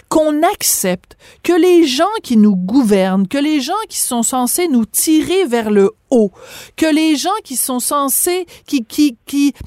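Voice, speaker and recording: Woman, French accent, radio host, emphatic rhetorical questions, higher voice, dry close sound. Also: a little mellow, no room sound. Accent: French from France. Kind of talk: opinionated radio debate.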